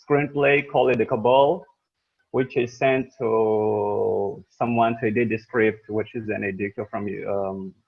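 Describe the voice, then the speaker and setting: A man from Mozambique, not a native English speaker, introduces himself eagerly and repeats phrases. His voice is gravelly. The sound is thin and phone-like, like a video call. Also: medium pitch. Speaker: new meeting participant. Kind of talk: casual self-introduction. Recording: tinny phone-like call audio.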